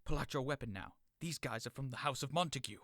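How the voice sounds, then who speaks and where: An actor playing a teasing, sharp-tongued young male servant in an audio drama. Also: medium pitch, fast, close to an everyday voice. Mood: mocking. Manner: teasing, mocking.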